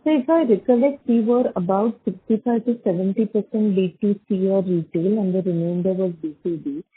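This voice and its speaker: A woman's voice: medium pitch, a little fast, husky. A woman with an Indian accent asking questions as an analyst on an earnings call. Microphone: conference call phone line. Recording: narrowband phone line.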